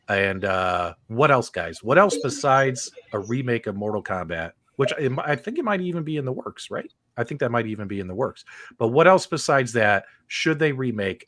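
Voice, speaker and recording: A man's voice: mid-range voice, a bit bright. A man hosting a gaming talk livestream, chatting casually with a panel and viewers.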